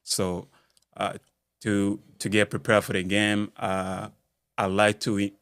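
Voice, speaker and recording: Deep, flat-voiced man from Rwanda. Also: clean tone, dry recording. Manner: halting, searches for words, many fillers. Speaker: basketball skills coach. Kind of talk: casual podcast interview. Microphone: studio mic.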